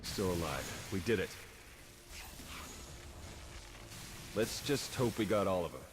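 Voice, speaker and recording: A man's voice: low voice, expressive, a little fast, noisy background. A man playing a pragmatic, weary secret agent in a video game, with a dry, casual delivery. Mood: cautiously hopeful. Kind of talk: naturalistic video game acting.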